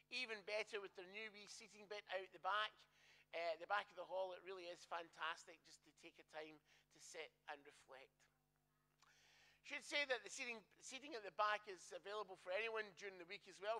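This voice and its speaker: A man's voice: high voice, sharp tone, low-quality recording. A man, a minister, giving the church notices in a chatty, joking way and correcting himself as he goes.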